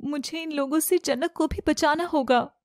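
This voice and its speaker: A woman reading scripted narration as the storyteller of an animated fairy tale. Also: high-pitched, normal speed, no room sound.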